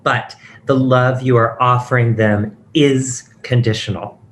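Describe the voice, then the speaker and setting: Man reading as hurt outspoken person confronting someone, mid pitch, some noise behind, table read. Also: steady tone, a little slow, close to an everyday voice. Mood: hurt, confrontational. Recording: clear background noise.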